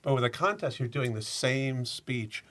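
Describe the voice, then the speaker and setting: A man's voice: medium pitch, animated, moderate pace, fairly bright tone. A man answering as a podcast guest, thoughtful and reflective with a wry sense of humor. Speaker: guest, speech contestant. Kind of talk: interview answers.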